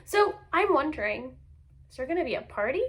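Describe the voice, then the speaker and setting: High-voiced woman reading a children's storybook. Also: fairly quick. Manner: expressive, addressing young children. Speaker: teacher reading aloud to children. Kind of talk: children's storybook read-aloud.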